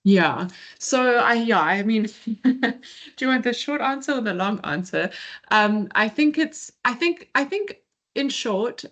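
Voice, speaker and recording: A woman's voice: lowish voice, dull audio. A woman, a researcher answering questions on a video call, thoughtful and hedging. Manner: thoughtful, hedging, many fillers. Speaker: research presenter.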